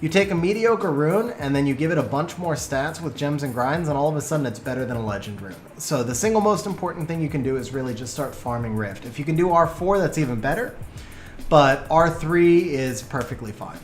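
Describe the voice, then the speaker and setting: A man giving lively live commentary on game replays and chatting with viewers, his voice getting worn from a long stream. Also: fairly high pitch, echoey room, soft background music. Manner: animated, quick play-by-play, bursts of excitement.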